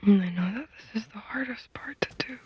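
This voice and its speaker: A woman leading a guided meditation in a soft, breathy whisper, speaking gently and soothingly with unhurried pauses. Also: fairly high pitch, highs cut off.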